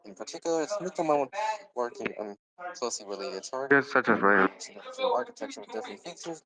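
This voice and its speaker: A man's voice: mid-range voice, sing-song, fast, creaky, crowd noise, rough recording. A man reading a technical policy document aloud, stumbling over words and repeating phrases as he goes. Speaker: reader.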